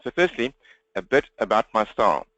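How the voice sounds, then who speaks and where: A man with a South African accent presenting an interactive webinar, talking fast in a direct way and engaging listeners with questions. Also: on the low side, very animated, a bit bright, no echo, rough recording. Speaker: webinar presenter and coach for accountants. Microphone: computer webinar microphone, presenter standing.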